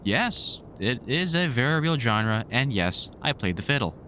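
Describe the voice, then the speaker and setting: Male actor as vampire hunter mentor for audio roleplay: wry, sweary, protective, very animated intonation, slight background rumble. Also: a little slow. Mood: dry banter. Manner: wry, sweary, exasperated but fond banter. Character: gruff, sardonic British vampire hunter, protective, teasing mentor. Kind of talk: roleplay audio drama addressed to the listener.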